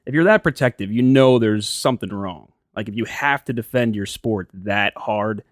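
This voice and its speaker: A man's voice: on the low side, moderate pace, no room sound, studio quality. An American man co-hosting a casual, conversational sports chat, sharing his opinions freely. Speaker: sports podcast co-host.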